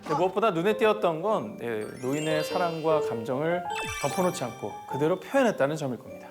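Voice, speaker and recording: A man co-hosting a film show as critic, giving informative commentary in a steady reading style. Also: on the high side, fast talker. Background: background music.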